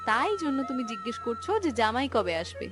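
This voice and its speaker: A woman's voice: on the high side, lively intonation, brisk, close to an everyday voice. A woman voicing an affectionate, dutiful young married daughter in a cartoon story, with a Bengali accent. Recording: clear music behind the voice.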